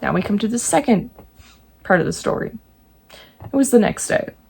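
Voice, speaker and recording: A woman reading true ghost stories aloud, breaking in now and then with jokey, animated asides. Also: medium pitch, normal speed, creaky, breathy edge. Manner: animated, jokey asides between read passages.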